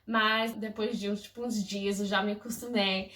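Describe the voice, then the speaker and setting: A young woman from England, a native English speaker, talks casually to camera. Her tone is bright and her manner gushing and effusive. The sound is echoey, with the mic set back from her. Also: mid-range voice, normal speed, distant mic. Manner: effusive, gushing, lively storytelling. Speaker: vlogger.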